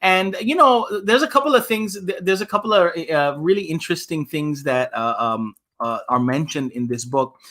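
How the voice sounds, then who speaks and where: A man, an imam, hosting a children's story time, reading and chatting in an animated, conversational way with questions for listeners. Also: a little high.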